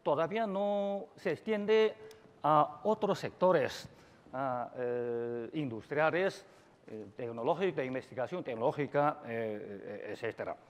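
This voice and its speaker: A middle-aged man, a native Chinese speaker, giving a formal conference talk in a lecture hall, deliberate and full of pauses and filler sounds. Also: mid-range voice. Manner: slow and deliberate, with many filler sounds and restarts. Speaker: invited speaker at a language forum. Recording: dull echoey hall recording.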